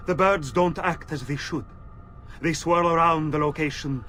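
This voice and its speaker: Fearful superstitious male conspirator, raised pitch, brooding, video game narration, faint ambient noise. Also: normal speed, pushed and bright. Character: paranoid, superstitious male conspirator reading his letter aloud.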